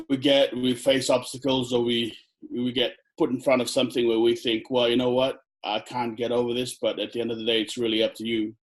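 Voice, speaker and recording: A middle-aged man from Fiji who grew up in New Zealand, answering interview questions slowly with many ums, over a video call headset. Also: mid-range voice, fairly bright tone, poor audio. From Fiji, raised in New Zealand. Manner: hesitant, many ums and uhs. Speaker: chiropractor guest. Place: indoor room on video call.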